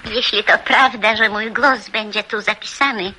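A woman's voice: mid-range voice, steady tone, background noise, lightly acted. An actress playing a loving old grandmother in a radio drama, speaking tenderly and solemnly, as on an old phonograph. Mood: solemn tenderness. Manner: slow, deliberate, tender.

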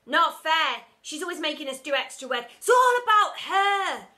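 Woman playing cheeky schoolkids, shrill and shouty, very high, bright voice. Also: very animated, moderate pace, heavily acted. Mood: rowdy. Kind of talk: storybook character voice.

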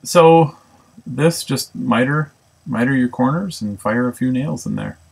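Male shop teacher, raspy low voice with heavy fry, easygoing, self-correcting narration. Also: measured pace, poor audio. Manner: relaxed, thinks aloud with many ums and self-corrections. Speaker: woodworking instructor. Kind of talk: casual how-to narration. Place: home woodshop.

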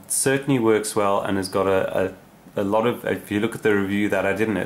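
A man giving a casual, unscripted hands-on demonstration, explaining in a rambling way as presenter. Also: fairly low pitch. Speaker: radio hobbyist presenter. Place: indoor radio desk, off camera. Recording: clear background noise, radio hiss.

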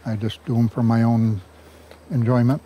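An older man with a mellow, warm voice leads a tour of his rural garden, telling stories in a folksy, unhurried way. He is recorded outdoors on a lapel mic, with faint country ambience behind him. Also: medium pitch, flat delivery, slight background noise, low-quality recording. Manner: folksy, unhurried, chuckles at own jokes. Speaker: garden owner giving a tour. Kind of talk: casual storytelling tour.

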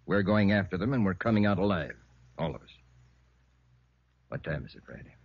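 Commanding police chief, very low voice, husky, terse orders, old radio crime drama, dull dry recording. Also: male voice, lively intonation, a little fast, no echo, exaggerated voice. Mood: grim. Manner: terse, authoritative briefing. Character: gruff, commanding male police chief, hard-bitten. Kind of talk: 1950s radio drama acting.

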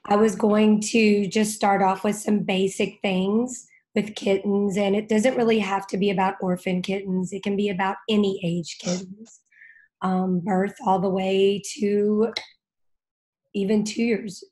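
A woman in her thirties with a slightly hoarse, fading voice talks through a laptop webcam from a home office lined with bookshelves. She chats in an easy, unhurried way, apologizing for her voice as she runs a Q and A. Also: mid-range voice, darkish tone. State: losing her voice, a bit hoarse. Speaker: cat care vet host. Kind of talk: casual video call Q and A. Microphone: laptop webcam on video call.

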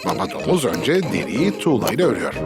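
A man playing the storyteller of a children's cartoon, narrating clearly from a script. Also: fairly low pitch, wildly swooping pitch, brisk. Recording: music behind the voice.

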